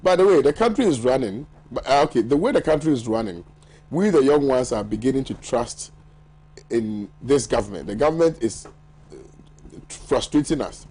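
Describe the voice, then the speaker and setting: Ghanaian male TV host, slightly husky, lively banter, reads out viewer texts. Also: medium pitch, normal speed. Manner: lively, laughs often, banters with panel. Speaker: morning show host. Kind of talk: newspaper review, reading viewer messages. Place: TV studio.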